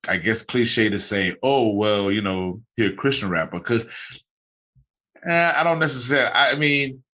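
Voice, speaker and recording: Low bright-toned man rambling casually. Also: animated, normal speed. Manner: rambling, many restarts and fillers. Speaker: podcast host. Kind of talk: casual interview chat.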